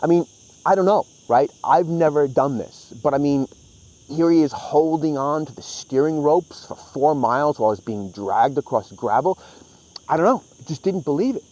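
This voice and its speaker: Man casually reviewing, slightly high voice. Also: lively intonation, moderate pace, a little mellow, dull audio. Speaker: book reviewer and host. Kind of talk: casual, opinionated review talk.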